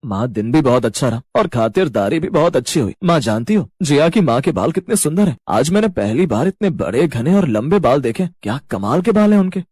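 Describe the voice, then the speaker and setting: Man voicing a husky, smitten young husband. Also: on the high side, big pitch swings, fairly quick, hardly any pauses, darkish tone, no room sound. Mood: gushing admiration. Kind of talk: Hindi cartoon family drama.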